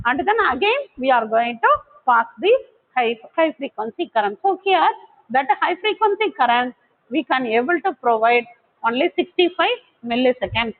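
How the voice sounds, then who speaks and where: A woman lecturer giving an explanatory technical class in a didactic way, posing questions and then answering them. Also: high-pitched. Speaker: college lecturer. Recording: thin, phone-like narrow-band audio.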